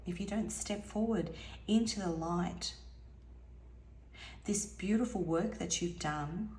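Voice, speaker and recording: A woman giving an intuitive tarot reading in a musing, soft-spoken way, her voice a little hoarse and now and then dropping to a near whisper. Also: medium pitch, echoey room. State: slightly hoarse, losing her voice. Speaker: tarot reader.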